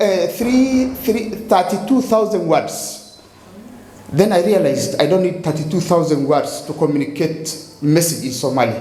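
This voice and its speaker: A high-voiced Kenyan man talks a festival audience through his poem. He restarts and repeats words as he hunts for the right one. His voice rings out in a roomy, echoey space with faint noise underneath. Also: mellow. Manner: halting, repeats words while searching for them. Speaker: poet performing on a festival stage. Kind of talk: spoken explanation of poetry with recitation. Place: festival stage. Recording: echoey live stage with faint background noise.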